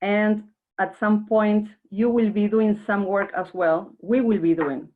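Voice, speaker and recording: A woman's voice: mid-range voice, fairly even intonation, unhurried. Woman from Argentina presenting over a tinny line. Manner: earnest, explanatory, addresses the audience directly. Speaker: workshop presenter. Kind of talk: webinar presentation. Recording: narrow phone-like online call audio.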